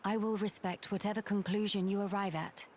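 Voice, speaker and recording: An actress voicing a kind, resolute young crown princess in a video game drama, with a British accent and formal, earnest delivery. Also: female voice, medium pitch, flat delivery, brisk, slight background noise, close to an everyday voice. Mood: earnest, tender.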